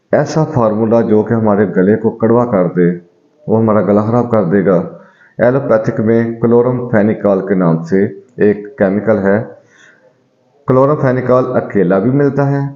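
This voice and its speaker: A man who is a homeopathic doctor, calmly explaining home eye remedies to his viewers and addressing them as friends. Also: on the low side. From Pakistan. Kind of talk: health advice video to viewers. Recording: dull, echoey room recording.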